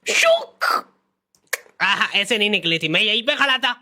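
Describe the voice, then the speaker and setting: Man doing a high-pitched, wailing cartoon parent. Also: lively intonation, unhurried, noisy background. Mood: distraught.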